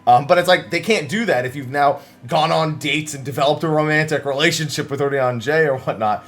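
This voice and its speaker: A man's voice: a little high, fairly quick, fairly bright tone. A man giving casual, conversational commentary as the host of a gaming news channel, summarizing interviews with emphasis.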